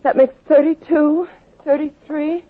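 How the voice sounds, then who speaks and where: A scheming young dame. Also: female voice, sits high, steady tone, unhurried. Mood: flustered. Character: scheming young woman in a hurry. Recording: muffled old radio broadcast.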